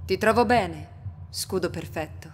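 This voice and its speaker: A woman voicing a calm, seductive female homunculus in an anime fan dub. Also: on the low side, unhurried. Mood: poised. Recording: roomy reverb with faint noise.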